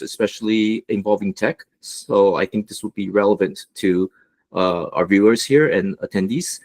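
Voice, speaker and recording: A man from Los Angeles giving an online business presentation as a guest mentor, with a relaxed delivery and many fillers. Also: fairly low pitch. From United States, Los Angeles.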